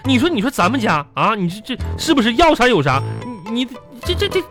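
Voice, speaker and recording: A man's voice: extremely high pitch, sing-song, quick pace, fairly bright tone, soft background music, full character voice. A man acting an aggrieved, whiny henpecked husband in a radio comedy, with a Northeastern Chinese accent and stammering, pleading indignation. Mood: wheedling, aggrieved. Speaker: radio comedy host and performer.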